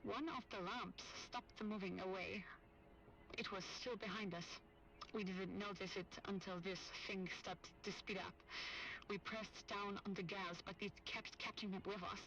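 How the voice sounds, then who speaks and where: A woman playing a young witness giving a measured, serious account in an analog horror tape. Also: medium pitch, a bit bright, close to an everyday voice. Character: young witness recounting a strange nighttime chase. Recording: distant mic, faint noise.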